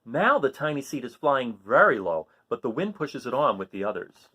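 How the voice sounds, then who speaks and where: A man reading a children's picture book aloud, with dramatic, drawn-out emphasis on key words. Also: mid-range voice, big pitch swings, sharp tone, slightly rough. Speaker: storybook reader reading aloud.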